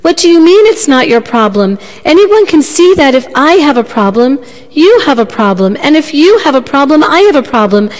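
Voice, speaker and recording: A woman's voice: on the high side, animated, normal speed, natural voice. A woman storyteller voicing a proud prince, speaking in an earnest, insistent, princely way. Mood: desperate. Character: proud commanding prince who crumbles into frightened pleading. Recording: long hall reverb.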